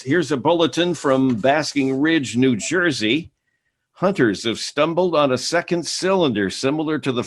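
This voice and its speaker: An actor playing a male radio news announcer in a radio play, reading urgent bulletins steadily with occasional hesitant fillers. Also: fairly high pitch. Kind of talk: 1930s radio drama acting.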